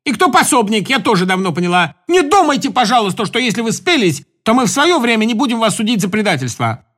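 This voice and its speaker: A man putting on a furious, accusing woman with a high voice that swoops up on every threat. She lectures in indignant bursts, promising judgment, her pitch darting sharply from phrase to phrase. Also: very animated, fast talker, fairly bright tone, full character voice.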